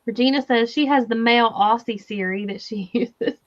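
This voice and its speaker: A woman's voice: fairly high pitch, normal speed. A woman with a Southern US accent hosting a crochet livestream in casual, laughing chat and reading viewer comments aloud. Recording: slightly dull lo-fi audio.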